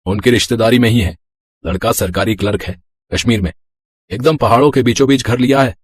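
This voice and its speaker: A man voicing a practical middle-aged father in a storybook tale, measured and reassuring, with a husky voice. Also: mid-range voice, close to an everyday voice. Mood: reassuring, explaining. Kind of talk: animated Hindi moral story dialogue.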